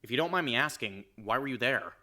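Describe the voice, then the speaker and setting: A man playing a calm, gentle young man. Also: mid-range voice. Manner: calm, measured, sympathetic. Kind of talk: fan audio drama acting.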